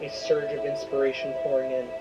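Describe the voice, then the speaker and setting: A man's voice: medium pitch, completely flat delivery, a little fast. Man narrating a dramatic story over music. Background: prominent music.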